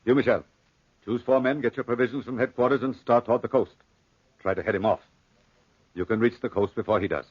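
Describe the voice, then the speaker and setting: A man playing a gruff, scornful army major in a 1940s radio drama, brusque and commanding. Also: medium pitch, fairly even intonation, fairly quick, long pauses, no echo, close to an everyday voice. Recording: muffled, dry 1940s radio recording.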